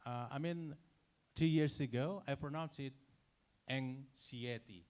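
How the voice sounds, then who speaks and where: Halting Indonesian man answering questions. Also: mid-range voice, darkish tone. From Kerinci, Sumatra, Indonesia. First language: Indonesian regional dialect. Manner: halting, full of fillers and self-corrections. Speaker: conference presenter answering a question. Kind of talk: unscripted conference Q&A answer.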